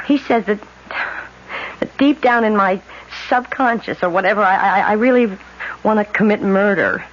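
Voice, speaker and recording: An American actress playing a troubled young woman in a 1970s radio drama, halting and emotional in her delivery. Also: mid-range voice, lightly acted. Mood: agitated, weary. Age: young adult. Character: troubled, overwrought young woman plagued by guilt and headaches. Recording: dull, noisy old radio broadcast.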